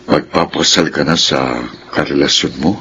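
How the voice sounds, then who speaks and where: Casting: loving, resigned older Filipino father, extremely deep voice, earnest radio-drama delivery. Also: steady tone, sharp tone, highs cut off, a little hiss or hum, heavily acted. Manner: solemn, measured, earnest.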